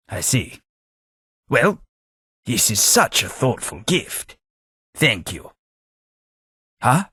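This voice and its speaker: An actor playing a formal, polite, long-lived London gentleman in a game, speaking with measured diction. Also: fairly low pitch, husky, no room sound, clean studio sound, exaggerated voice. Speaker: game companion. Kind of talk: game voice acting.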